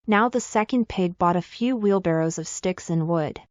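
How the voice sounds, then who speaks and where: A woman's voice: on the low side, normal speed, dry recording, dull audio. A woman reading a scripted children's fairy tale as the storyteller.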